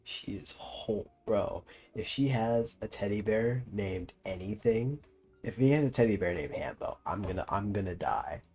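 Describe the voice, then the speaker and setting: A man's voice: lowish voice, lively intonation, moderate pace, a little mellow, faint music behind. A young man giving casual, slangy and joking commentary as he streams a game. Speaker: gaming streamer.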